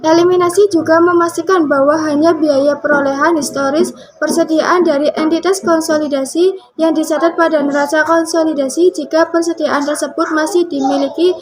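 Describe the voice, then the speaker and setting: A woman's voice: sits high, fairly even intonation, fairly quick, faint music behind. A young woman, a student presenter, reading out a scripted lesson. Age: young adult. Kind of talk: scripted lesson narration read aloud.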